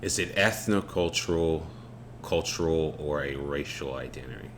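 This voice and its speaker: A man co-hosting a podcast, talking in a relaxed, reflective way with some halting restarts. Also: very deep voice. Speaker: podcast co-host. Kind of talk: casual unscripted conversation. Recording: faint background noise.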